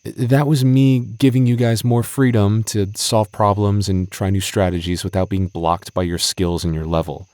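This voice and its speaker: Male actor as young game master, deep voice, exasperated tsundere friend, hesitant delivery, intimate audio roleplay. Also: fairly even intonation, normal speed, few pauses, darkish tone, no room sound, natural voice. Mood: frustrated, confronting. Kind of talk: ASMR audio roleplay acting.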